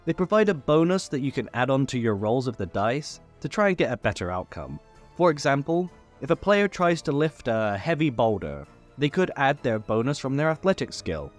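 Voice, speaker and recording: A man hosting a tutorial, reading a scripted explainer in an instructional way with lightly playful asides. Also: mid-range voice. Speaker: host of a tabletop game tutorial.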